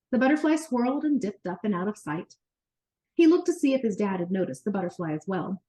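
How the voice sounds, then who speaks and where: A woman narrating a children's book as an expressive, animated read-aloud storyteller. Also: medium pitch, rough recording.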